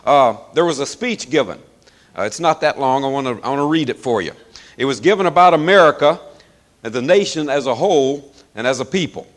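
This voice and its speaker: A middle-aged man preaching in a folksy, chuckling manner, hoarse as he loses his voice, with plenty of fillers. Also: medium pitch, expressive, brisk. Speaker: pastor. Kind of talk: sermon.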